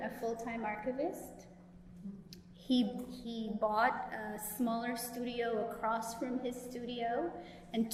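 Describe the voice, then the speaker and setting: A woman's voice: on the high side, darkish tone, slight background noise. Guyanese woman speaking in an echoey gallery. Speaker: panelist and professor. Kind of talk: public panel talk. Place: gallery event space. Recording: echoey gallery room with long reverb.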